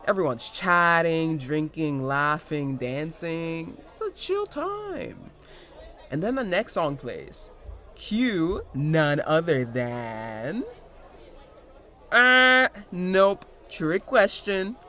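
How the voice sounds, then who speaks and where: Male actor as gossipy bar regular, higher-pitched, very lively intonation, confiding and rambling. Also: extremely slow, full character voice. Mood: gossipy, amused. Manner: animated, confiding, digressive. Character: chatty, gossipy bar patron recalling a costume party. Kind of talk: conversational scripted anecdote.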